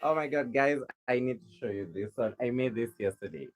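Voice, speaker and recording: A man's voice: medium pitch, brisk. A man from Kenya, a rug maker chatting casually on a livestream and answering viewers' questions with a helpful, rambling manner. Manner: chatty, helpful, lots of restarts.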